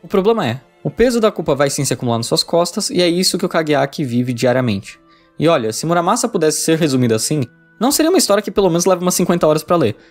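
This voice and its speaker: A man's voice: on the high side, big pitch swings, fast talker, soft background music. A man with a Brazilian Portuguese accent reading an informal video essay script, conversationally addressing the viewer.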